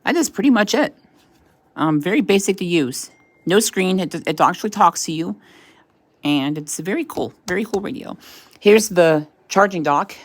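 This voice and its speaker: A woman's voice: on the low side, no room sound. A woman giving a casual tech review as a vlog host, talking in a hesitant, rambling way. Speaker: product reviewer and channel host.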